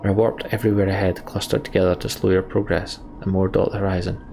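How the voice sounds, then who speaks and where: A man's voice: deep voice, level tone, quick pace, creaky, darkish tone, a little hiss or hum. A man hosting a let's play, reading game text aloud and commenting casually on his play. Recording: game music behind the voice. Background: game music.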